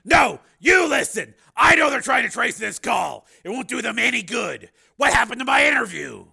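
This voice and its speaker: A man voicing an angry, commanding renegade police lieutenant in an audiobook, with forceful, clipped, demanding delivery. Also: high voice, sing-song, moderate pace, vocal fry, breathy edge, voice pushed up, heavily acted. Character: defiant male cyborg police lieutenant on the run, commanding, angry.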